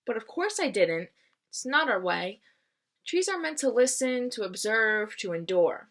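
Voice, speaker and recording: A woman's voice: on the high side, animated, measured pace, a bit bright. A woman reads a children's book aloud expressively and explains it simply in a teacherly manner. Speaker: read-aloud reader. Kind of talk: expressive storybook read-aloud with explanatory asides.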